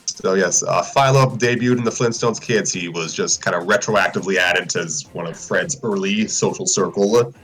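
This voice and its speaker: A man's voice: deep voice, normal speed, fairly bright tone. A man chatting casually in a group, explaining trivia in a matter-of-fact way. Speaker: show regular discussing cartoon lore. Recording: music playing behind the voice. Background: music.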